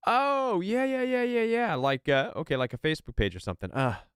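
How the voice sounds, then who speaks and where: A man's voice: sits high, a little fast, clean tone, no echo, studio quality. A man hosting a live stream chat, talking in a rambling way with many restarts and repeated words, and reading viewer comments aloud. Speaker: podcast host.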